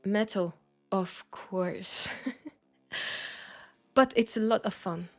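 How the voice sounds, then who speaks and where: A woman with a French accent acting a nostalgic, playful old school friend in an intimate, casual audio roleplay, with an unhurried, teasing manner. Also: mid-range voice, fairly even intonation, faint music behind. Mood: casual, enthusiastic. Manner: unhurried, teasing, breaking into soft laughs.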